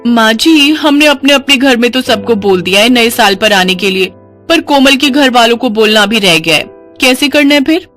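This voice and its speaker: Spiteful rich daughter-in-law acted by a woman storyteller: raised pitch, whiny, scheming, family story drama. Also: expressive, moderate pace, a bit bright, faint music behind. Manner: whiny complaining, pointed.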